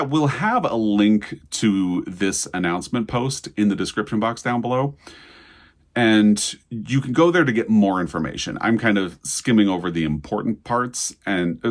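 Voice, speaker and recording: A man's voice: fairly low pitch, expressive, normal speed. A man hosting a video in a casual, chatty and enthusiastic way, commenting as he talks to the viewer. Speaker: host of a book news video channel.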